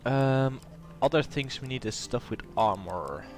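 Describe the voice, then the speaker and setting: A man's voice: medium pitch, lively intonation, unhurried, soft background music. A man with a cold and a nasal voice, hosting a casual gameplay commentary and thinking aloud with plenty of hesitation. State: has a cold. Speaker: let's play host.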